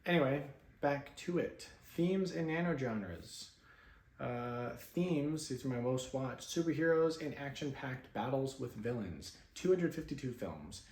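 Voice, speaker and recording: A man talks through his film logs in a loose, offhand way, dropping fillers as he goes. His mid-pitched voice rings off the bare walls of his apartment. A faint room hum sits under the voice. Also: measured pace, roomy sound. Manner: relaxed, offhand, many fillers. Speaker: film vlogger. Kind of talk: casual screen-share commentary.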